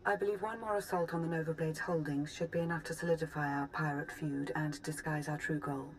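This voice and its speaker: A woman voicing a cool, calculating intelligence agent in a video game, measured, formal and controlled. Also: sits low, steady tone, a little hiss or hum, lightly acted, rough recording.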